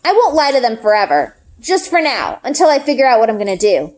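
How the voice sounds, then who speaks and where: Female read-aloud narrator for children's fiction. Higher register, highly varied intonation, expressive storytelling. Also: quick pace.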